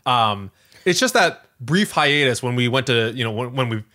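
Man, very bright voice, mid-pitched, casual podcast co-host, rambling, self-correcting, repeats phrases. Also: animated, moderate pace.

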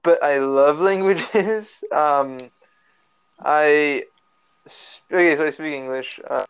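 A man's voice: fairly high pitch, slow, smooth. A young man from the Los Angeles area, a podcast guest chatting casually over a remote call, rambling with lots of fillers and restarts. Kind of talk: casual chat. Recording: lo-fi online call audio.

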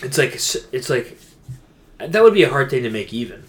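A man's voice: mid-range voice, very animated, a little fast. A man co-hosting a podcast, chatting casually about games in a rambling, slangy way. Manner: rambling, informal gamer slang. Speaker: podcast co-host. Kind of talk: casual gaming chat.

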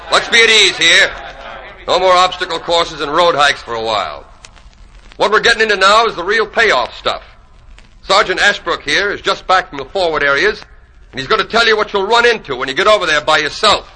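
An actor playing a tough, plain-talking American sergeant, slangy and commanding, in a 1940s radio drama. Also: male voice, high-pitched, bright, forceful tone, full character voice, low-quality recording.

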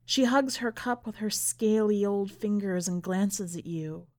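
A woman's voice at a medium pitch, presenting literary fiction on a podcast. She is deliberate and measured, in the manner of a story reader and host. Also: darkish tone.

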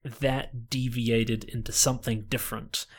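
A man's voice: medium pitch, flat delivery, unhurried. A man from New Zealand, a guest chatting casually about himself, laughing often and restarting his sentences. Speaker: podcast guest, online gamer. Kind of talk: casual chat.

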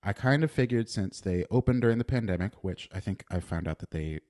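A young man with a lowish voice reads his own written food review aloud on a podcast. He is dry and deadpan, with plenty of "uh" restarts as he goes. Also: brisk. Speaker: podcast co-host.

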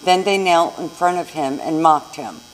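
American lady reading bible story in church, lowish voice, buzzy hum on the recording. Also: normal speed, rough recording. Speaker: church reader, narrator of a Passion reading. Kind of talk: scripted scripture reading aloud. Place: church service.

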